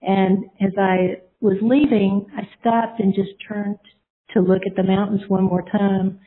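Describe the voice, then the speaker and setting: A woman's voice: medium pitch, level tone, a little slow. A middle-aged woman sharing a personal testimony, telling her story earnestly and rambling, with many fillers and restarts. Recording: tinny phone-like line.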